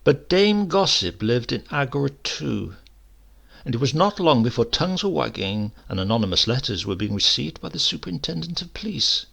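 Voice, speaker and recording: A man with a husky voice reading a literary story in a measured, wry way. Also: mid-range voice, big pitch swings, fairly bright tone. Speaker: story narrator. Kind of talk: scripted literary narration.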